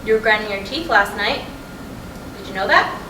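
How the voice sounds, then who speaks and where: A woman's voice: mid-range voice, lively intonation, normal speed, close to an everyday voice. A woman in a staged play reading, playing a blunt, restless middle-aged wife who is fed up. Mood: needling, curious. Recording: echoey room from far off, noisy.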